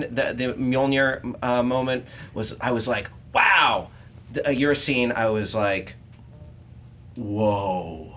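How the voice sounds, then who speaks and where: A casual man with a medium-pitched voice hosts a panel debate. He talks in a halting, unscripted way, dropping informal slang, with faint noise in the background. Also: a bit bright. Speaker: host and judge of a debate show. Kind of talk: unscripted panel show hosting.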